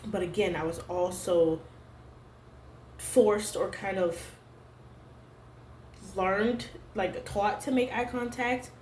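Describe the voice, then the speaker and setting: A young adult woman vlogging to camera at home, talking in a halting, searching way full of "like" fillers. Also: mid-range voice, fairly even intonation, a little mellow, a little hiss or hum. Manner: hesitant, halting, heavy use of "like" fillers. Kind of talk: personal confessional vlog. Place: room at home. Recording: roomy home echo.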